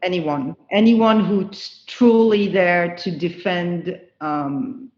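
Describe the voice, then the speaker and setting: Slow, earnest woman. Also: fairly low pitch, reverberant, lo-fi sound. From France. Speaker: guest. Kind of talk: interview answers.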